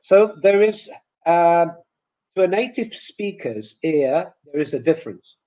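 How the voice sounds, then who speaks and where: Guy teaching english pronunciation, slowish and halting, kinda soft mellow tone, higher voice. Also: expressive, low-quality recording. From Poland. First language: Polish. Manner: hesitant, halting delivery with repeated words. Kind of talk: webinar lecture with demonstrated example words.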